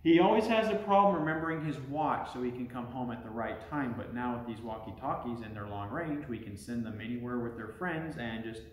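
A man's voice: mid-range voice, slight background noise. A middle-aged American man talking casually as a father reviewing a product on a family vlog. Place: living room at home. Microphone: camera a short distance away. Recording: roomy home sound with music behind.

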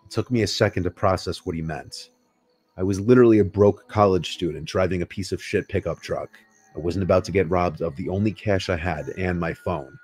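A man narrating a scripted first-person scary story in a measured, unhurried way. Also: sits low, darkish tone, breathy edge. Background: music behind the voice.

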